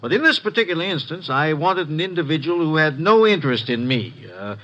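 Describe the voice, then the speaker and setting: An actor playing a wealthy, secretive loan company president who speaks hesitantly, with many pauses, in a 1950s radio drama. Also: male voice, on the high side, expressive, bright, forceful tone. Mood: guarded. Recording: dull lo-fi old radio recording.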